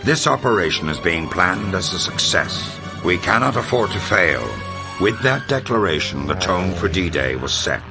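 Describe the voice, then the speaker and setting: Loud music plays under a very low voice: a grave military narrator from a war game. He delivers scripted, documentary-style lines with measured authority and a serious, commanding manner. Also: expressive. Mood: solemn, resolute, then grave, emphatic. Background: loud dramatic music.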